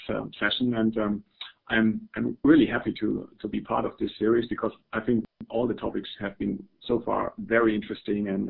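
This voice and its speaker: A man's voice: lowish voice, vocal fry, slightly rough. A middle-aged man from Germany with a German accent, giving an academic webinar talk, hesitant, with many fillers and repeated words. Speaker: webinar presenter. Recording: phone-like webinar audio.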